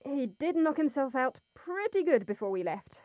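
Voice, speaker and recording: An actress playing a groggy, bewildered woman who has just woken in a coffin, speaking softly in an audio drama. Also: high voice, very animated, normal speed, lo-fi sound. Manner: drowsy, soft-spoken, bewildered.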